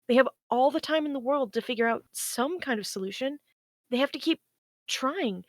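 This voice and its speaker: A woman's voice: sits high, lively intonation, moderate pace, dry recording. A woman in a table read playing a naive, hopeful, newly awakened space probe, earnest and pleading. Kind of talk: table read of science fiction script.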